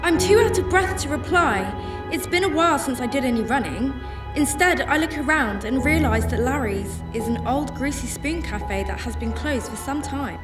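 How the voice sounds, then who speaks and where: A woman performs an anxious, tender heroine telling her own story in a radio play. Her voice is a little high and earnest, set back from the mic in an echoey space. Music plays underneath. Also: expressive, moderate pace. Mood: tense. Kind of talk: first-person radio drama narration. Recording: echoey, distant-sounding with music bed.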